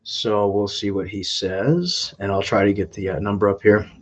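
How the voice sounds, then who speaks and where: A man hosting a casual live stream, talking in a halting way with frequent filler sounds. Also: fairly low pitch, fairly even intonation. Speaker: scam-baiting live streamer.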